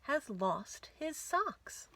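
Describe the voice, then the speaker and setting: A woman hosting a children's storytime, reading picture books aloud in an expressive, sing-song way. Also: high voice, extremely slow, slight background noise. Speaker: children's library storytime host. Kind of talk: animated picture-book read-aloud for young children.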